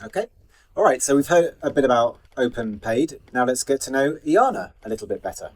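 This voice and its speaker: Man, interview host, medium pitch, conversational questioning, informal phrasing with restarts. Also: sing-song, fairly quick.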